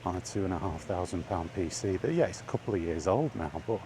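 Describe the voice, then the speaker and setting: A man's voice: sits low, animated, fast talker, dark tone. A man chatting casually and rambling in a wry, grumbling way while walking outdoors. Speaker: vlogger. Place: outdoors on a walk, under trees. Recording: faint outdoor ambient noise.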